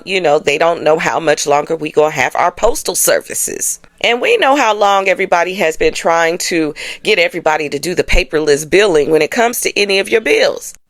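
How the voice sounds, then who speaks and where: A woman's voice: fairly low pitch, a bit bright, dry recording. Casual American woman, dry close recording. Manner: conversational, colloquial, emphatic, with frequent check-in tags. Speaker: astrology host. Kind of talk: casual explanatory monologue to viewers.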